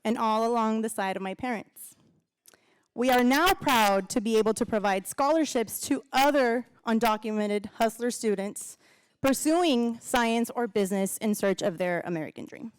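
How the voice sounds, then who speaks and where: A woman's voice: a little high, normal speed, clear, resonant tone, no echo, poor audio. A woman in her thirties speaking on stage into a handheld microphone at a gala, heartfelt and personal, with a faint audience behind her. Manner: heartfelt, laughs between lines. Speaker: co-emcee and alum speaker. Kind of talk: speech at a gala. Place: large event hall, on stage.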